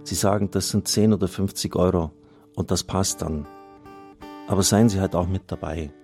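A deep-voiced priest at the microphone of a religious radio fundraiser, earnestly reading out listeners' letters while a guitar plays faintly underneath. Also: male voice, fairly even intonation, normal speed, darkish tone. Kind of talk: reading out listener testimonials and appealing for donations on air.

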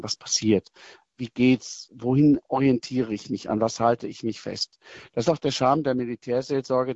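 A middle-aged man, a military chaplain, answering interview questions in a thoughtful, measured way with hesitations and restarts. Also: medium pitch, darkish tone, no echo, highs cut off.

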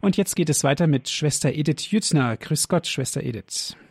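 A man hosting a religious radio call-in show, formally greeting callers and slowly reading out the phone number. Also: on the high side, lively intonation, husky. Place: radio studio.

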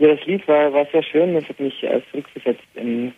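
A young man, a native Arabic speaker with an Austrian German accent, answering a radio interview by phone in an easy manner with many filler pauses. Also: fairly high pitch, breathy edge. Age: young adult. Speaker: interview guest, band musician. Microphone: telephone. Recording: tinny phone line with faint hiss.

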